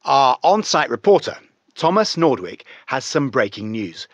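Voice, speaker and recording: A man's voice: medium pitch, big pitch swings, measured pace, no echo. A man playing a polished, self-important television news anchor reading a scripted bulletin in a game. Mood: brisk, official. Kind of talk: scripted TV newscast. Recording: dry, slightly dull studio sound.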